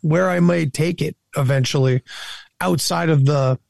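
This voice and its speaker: A man from New England, a podcast host, chatting in a laid-back, halting way and swearing casually. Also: a little high, vocal fry, a little mellow.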